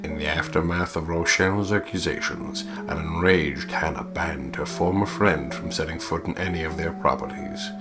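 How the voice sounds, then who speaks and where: A man's voice: low voice, fairly even intonation, moderate pace, few pauses. A man co-hosting a let's play, switching between casual, sweary jokes and reading game text aloud. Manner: jokey, sweary banter. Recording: music behind the voice. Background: guitar game music.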